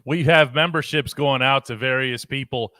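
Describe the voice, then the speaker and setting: A man's voice: medium pitch, steady tone, bright, forceful tone, dry recording. A man hosting a sports talk show, chatting with his co-host and reading out viewer comments. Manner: brisk, plugs and reads comments.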